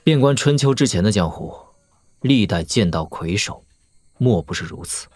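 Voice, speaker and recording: A man playing an assured young lord and master swordsman in a Chinese wuxia audio drama, measured, analytical and dryly witty. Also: lowish voice, animated, no echo. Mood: calm, analytical.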